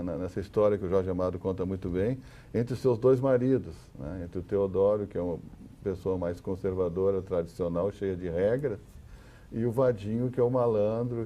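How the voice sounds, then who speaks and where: A man with a Brazilian accent speaking as an academic guest on a discussion panel, explaining haltingly and often repeating words. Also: low voice, dull audio.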